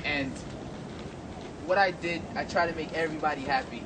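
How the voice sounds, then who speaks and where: A man's voice: on the high side, normal speed. A young man doing a playful, teasing mock prayer, laughing between phrases, recorded outdoors in a backyard at dusk. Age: young adult. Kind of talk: joking mock prayer to the camera. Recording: distant phone audio with loud wind noise.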